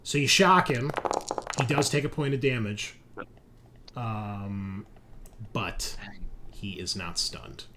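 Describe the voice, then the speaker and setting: A man's voice: fairly low pitch, slow, pauses often, bright, forceful tone. A man running a tabletop roleplaying game casually as game master, on a home mic. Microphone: home mic on online group call. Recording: clear background noise on home mic.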